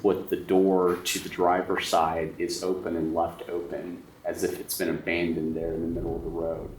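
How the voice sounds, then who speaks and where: A man's voice: low-pitched, monotone, normal speed, darkish tone. A man narrating a horror tabletop game as game master, dramatic and suspenseful, with repeated phrases. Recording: roomy, distant mic, faint noise.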